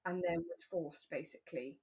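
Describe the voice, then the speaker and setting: A woman co-hosting a podcast, chatting in a relaxed, conversational way about a radio drama. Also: on the low side, normal speed. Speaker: podcast co-host.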